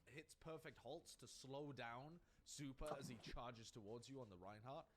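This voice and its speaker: A man's voice: medium pitch, moderate pace. A man casting an esports match, explaining tactics in detail, heard from a TV broadcast. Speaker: esports caster. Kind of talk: esports analysis commentary.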